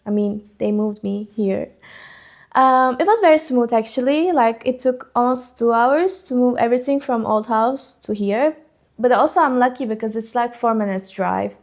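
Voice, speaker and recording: A woman's voice: on the high side, moderate pace. A young woman with a Turkish accent, a vlogger chatting unscripted to camera at home, rambling with many ums and likes. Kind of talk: casual unscripted chat to camera.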